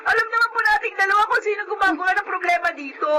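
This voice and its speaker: A woman's voice: very high-pitched, racing, very noisy. A woman playing a hurt, defiant, anxious young Filipino granddaughter, protesting emotionally in a melodrama. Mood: angry, tearful. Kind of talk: melodramatic drama acting. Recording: loud music under dialogue.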